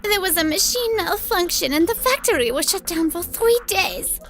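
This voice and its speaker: The earnest young assistant in an office romcom cartoon, piping up at a very high pitch as a woman acts her. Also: animated, moderate pace, fairly bright tone, faint music behind, exaggerated voice. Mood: urgent, worried. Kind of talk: scripted manga dub acting.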